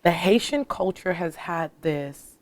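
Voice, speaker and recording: Host, woman from South Florida, lower voice, lively and direct. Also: unhurried, no room sound. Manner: lively, asks probing questions. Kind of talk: casual interview chat.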